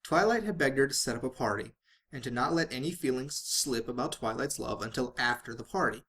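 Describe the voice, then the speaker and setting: Guy reading a fanfic out loud, midrange voice, dramatic narration, trips on lines and redoes them. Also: medium pitch, fairly quick, a little hiss or hum. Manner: stumbles and rereads phrases. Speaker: fan fiction reader.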